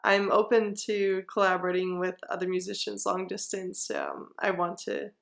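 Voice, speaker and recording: Female interview guest, medium pitch, loose conversational delivery. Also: flat delivery, moderate pace, creaky. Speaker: guest musician and voice performer. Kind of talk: casual chat.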